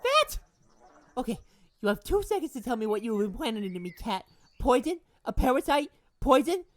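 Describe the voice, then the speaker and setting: A woman voicing an earnest, suspicious and self-important hero dog in an amateur performance of an animated film scene. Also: sits high, fairly quick. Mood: panicked, accusing. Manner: melodramatic, pompous.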